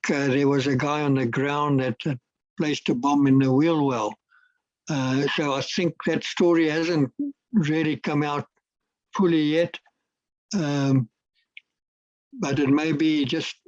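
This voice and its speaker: An older man with a South African accent, Afrikaans his first language, talks slowly and haltingly, full of fillers and restarts. His voice sits a little high for a man. The sound is dry and dull, like a video call. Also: a little mellow, no room sound. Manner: hesitant, many fillers and restarts. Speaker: guest, retired air force officer. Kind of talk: casual interview talk.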